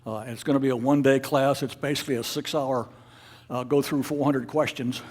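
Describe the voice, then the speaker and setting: A man leading a club meeting, giving informal announcements in a halting, unscripted way. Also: mid-range voice, steady tone, darkish tone. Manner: halting, hesitant, unscripted delivery. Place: club meeting room with an audience.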